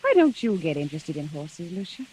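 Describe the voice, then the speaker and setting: A woman playing a peppy, scolding, blunt female companion in brisk 1940s radio acting. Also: deep voice, sing-song, a little mellow. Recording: hissy, noisy 1940s radio recording.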